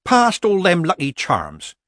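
A man's voice: high voice, wildly swooping pitch, normal speed, fairly bright tone, no echo, clean studio sound, voice pushed up, heavily acted. Man doing a sly, mock-meek old witch. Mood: meek innocence. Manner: folksy, modest, deceptively mild.

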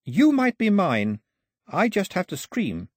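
Audiobook heroine, played by a man: quick-witted young woman, high, breathy, pleading yet defiant, very lively intonation. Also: dry recording, clean studio sound, exaggerated voice. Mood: bold bargaining. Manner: urgent, articulate, pleading.

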